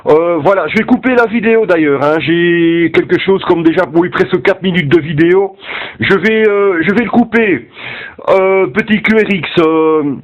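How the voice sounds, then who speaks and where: A man with a Belgian French accent chatting casually as an amateur radio operator, with a hesitant, halting delivery. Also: high voice, dull audio. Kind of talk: casual ham radio chat.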